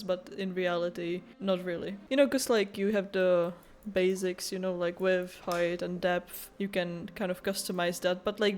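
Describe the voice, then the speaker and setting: Young woman giving casual gaming commentary. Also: mid-range voice. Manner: rambling, informal, giggly. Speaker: gaming video creator.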